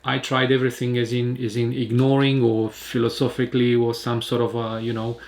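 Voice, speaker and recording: A man speaking calmly and hesitantly about his recovery from eye surgery, with many fillers and restarts. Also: medium pitch, brisk. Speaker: patient sharing his surgery experience. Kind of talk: casual spoken vlog. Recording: home video recording.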